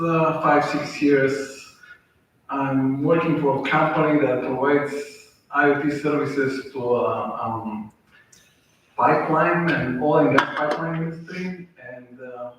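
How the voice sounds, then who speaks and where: A man with a Bulgarian accent introducing himself on a panel, listing his experience with many pauses. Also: medium pitch, a bit bright, slight background noise. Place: lecture theatre. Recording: echoey room from far off.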